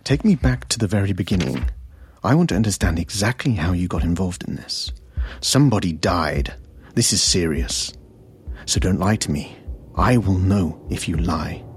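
An actor playing a stern, menacing veteran state interrogator in a sci-fi audio drama, controlled and deliberate in his threats. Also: low-pitched, lively intonation, slightly rough, dry recording, exaggerated voice. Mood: grim insistence.

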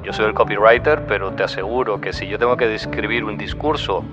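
A low-voiced man tells a campaign story in a reflective way, ending points with little tag questions. The recording is dull and muffled, with music clearly playing underneath. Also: brisk. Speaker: advertising copywriter. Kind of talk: recounting a campaign on stage.

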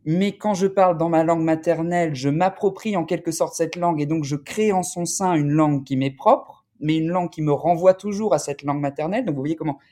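A man speaking as an academic researcher in an interview, explaining in a deliberate, halting, scholarly way. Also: a little high, warm tone.

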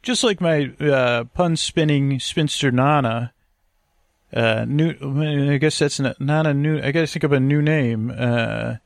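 A man with a gravelly voice, rambling as a podcast host in a hesitant, stammering, meandering monologue meant for falling asleep to. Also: mid-range voice, unhurried, dry recording, studio quality.

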